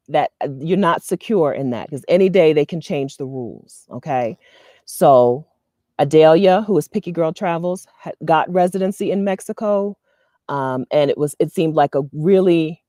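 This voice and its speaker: An animated woman in her thirties from the US East Coast, talking straight to camera in a casual, advice-giving vlog. Her voice sits a little low and sounds mellow and warm, recorded dry and close. She laughs easily and keeps asking "okay?" to keep her listeners with her. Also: no echo. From Delaware, US East Coast. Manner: chatty and animated, laughs often, checks in with "okay?". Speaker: vlogger and expat community host. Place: outdoors in front of a leafy green wall.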